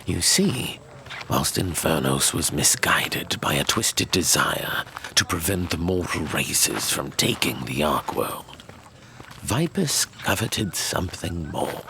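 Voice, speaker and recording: A man playing a measured, knowing storyteller of dark fantasy lore, speaking with deliberate dramatic emphasis. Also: rumbling low, big pitch swings, gravelly, a little hiss or hum, full character voice. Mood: grave storytelling.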